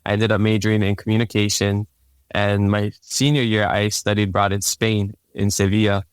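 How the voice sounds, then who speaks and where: A young man from Hawaii, a native Hawaiian speaker, talks through his story in a low, fairly level voice. His tone is smooth and clear, and the recording is dry and close. He sounds laid-back and often repeats a word as he starts a sentence. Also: completely flat delivery, moderate pace, dry recording. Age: young adult. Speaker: podcast guest. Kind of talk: casual interview storytelling.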